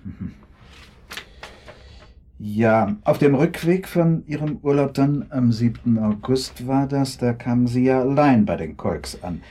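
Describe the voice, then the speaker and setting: Mellow, husky, courteous lawyer. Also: male voice, medium pitch, lively intonation, measured pace. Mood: quietly insistent. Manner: hesitant, circumspect, courteous. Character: polite, probing, persistent male lawyer questioning a witness. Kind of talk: German radio drama acting.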